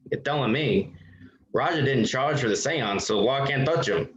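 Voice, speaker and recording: A man's voice: medium pitch, animated, normal speed, lightly acted. A man playing a slangy, grumbling, cynical newspaperman in a 1940s-style radio drama, roomy and noisy recording. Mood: cynical, exasperated. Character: slangy, cynical newspaperman reporting to his boss. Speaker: actor in an old-time radio drama revival. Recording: roomy echo, clear background noise.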